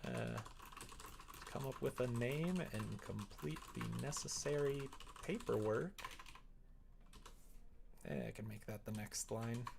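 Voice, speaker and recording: Male streamer, unhurried and gravelly, casual thinking-aloud chat, keyboard clicks behind. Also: mid-range voice, noisy background. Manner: chatty, mutters to himself while working. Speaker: live streamer, host.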